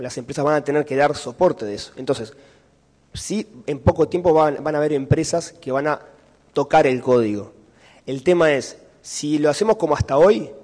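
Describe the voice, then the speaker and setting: A man with a Uruguayan Spanish accent talks through ideas at a conference, pausing on fillers and checking in with the room. His voice sits a little high. A long hall reverb trails his words. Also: darkish tone. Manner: explanatory, frequent fillers and rhetorical checks. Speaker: conference speaker. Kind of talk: conference talk and Q&A answer.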